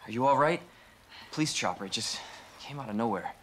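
Male actor as young rookie police officer, lively intonation, earnest, brave, reassuring, video game drama, faint background noise. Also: mid-range voice, brisk, natural voice. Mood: concerned, weary.

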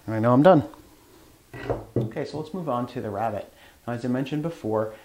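A man's voice: mid-range voice, a little fast, background noise. A man hosting a woodworking show, explaining plainly as he works with hand tools in his shop. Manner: plain explanatory, talks while working. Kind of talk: hands-on tool demonstration.

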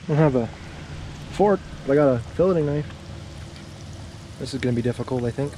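A man's voice: medium pitch, fairly quick, mellow, a little hiss or hum, low-quality recording. A young man casually narrating as he fries fish he caught, talking to the viewers while he works outdoors on an action camera. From Canada. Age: young adult. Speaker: fishing vlogger. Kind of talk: casual outdoor cooking vlog. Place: outdoors at a lakeside parking area. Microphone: action camera, sometimes handheld at arm's length.

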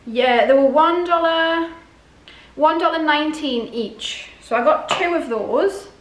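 A woman's voice: high-pitched, a little slow. A woman in her forties chatting casually to camera as a vlogger, often questioning herself aloud. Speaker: vlogger showing a grocery haul. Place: indoors in a home dining room. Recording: echoey room, clear background noise.

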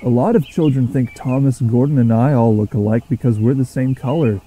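Patient, good-humoured engine character, played by a man, mellow tone, calm explanatory delivery for a children's railway story. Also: medium pitch, brisk, breathy edge, close to an everyday voice, poor audio. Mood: amused, explaining.

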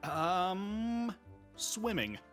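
Very slow-paced, hesitant man. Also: sits high, sing-song, fairly bright tone, faint music behind. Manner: hesitant, thinking aloud. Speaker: player in a word game. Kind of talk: casual chat.

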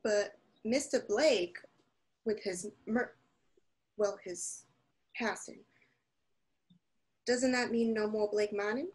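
A woman's voice: mid-range voice, slow pace, pauses often, a little mellow, lightly acted. A woman playing a gossipy, worried frontier shopkeeper in a radio drama, fretful and hesitant. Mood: worried, puzzled.

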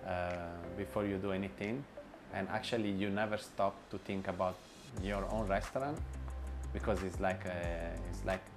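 A man with a lowish voice answers interview questions slowly and haltingly. He restarts often and fills his pauses with "uh". Clear background music sits under his voice in a bright indoor room. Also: steady tone. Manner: hesitant, many fillers and restarts, non-native grammar. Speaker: restaurant owner and chef.

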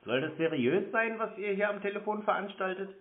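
A man's voice: sits high, lively intonation, fairly quick, background noise. A man from Germany, a native speaker, talking casually and argumentatively with a blunt, mocking, unbothered manner. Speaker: call recipient baiting phone scammers. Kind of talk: casual, argumentative phone conversation. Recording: narrow phone-quality sound.

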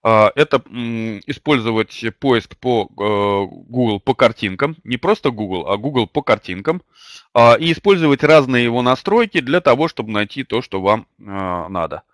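An older man with a slight cold speaks into a desk mic in a small room, lecturing a webinar audience and pausing often on long hesitant fillers. His voice sits at an ordinary middle pitch. The sound is dry and close, with no room echo. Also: lively intonation. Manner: hesitant, many drawn-out fillers. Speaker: webinar host and publishing trainer. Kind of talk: webinar lecture with personal anecdote. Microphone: webcam or desk mic at a computer.

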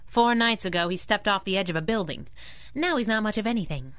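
A woman's voice: mid-range voice, brisk, fairly bright tone, smooth, no echo, natural voice. An actress playing a sassy, slangy young female masked crimefighter in a 1930s-style radio drama. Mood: tough, needling. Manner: sassy, slangy, tough-talking.

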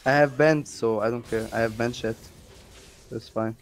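Giggly, excitable young male gamer. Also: medium pitch, normal speed, a little hiss or hum. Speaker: gamer streaming his match. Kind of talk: casual voice chat while gaming.

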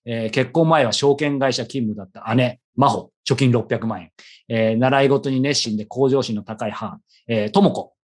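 A man's voice: mid-range voice, moderate pace. A man hosting a conversational interview, chatty and wordy, often adding asides as he goes. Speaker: interviewer, show host.

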